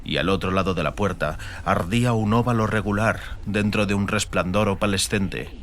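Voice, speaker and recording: A man's voice: deep voice, moderate pace, a bit bright, slight background noise. A man reading a scripted, dramatic fantasy audiobook narration.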